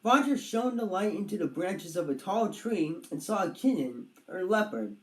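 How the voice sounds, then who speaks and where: Children's read-along narrator, expressive and scripted, mid pitch, roomy recording. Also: moderate pace. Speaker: storybook narrator.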